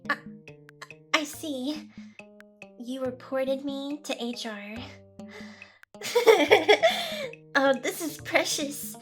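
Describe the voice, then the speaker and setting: A high, feminine voice for a demon boss , acted out by a woman. She is smug, teasing and possessive, delivering her lines with theatrical seduction in an ASMR roleplay. Soft music plays faintly underneath. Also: drawn-out words, long pauses. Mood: amused, indignant.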